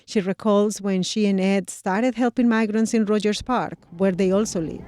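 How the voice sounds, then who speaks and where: A woman reporter narrates a radio news feature in a measured, clear way. Her tone is mellow and warm, at a middle pitch. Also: steady tone, no echo, studio quality.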